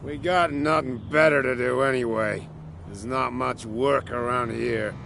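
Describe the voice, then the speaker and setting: A man voicing a gruff, suspicious island drunkard in a video game, grumbling about outsiders. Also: medium pitch, fairly even intonation, measured pace, fairly bright tone, a little hiss or hum. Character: gruff, idle male island drunk, protective of his saint.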